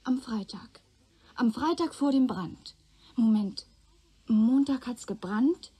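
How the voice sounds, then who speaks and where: An actress playing a guarded, loyal young woman giving testimony in a radio play, plain-spoken and measured. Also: a little high, expressive, mellow, husky, full character voice. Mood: earnest, explaining. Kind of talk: German radio play acting.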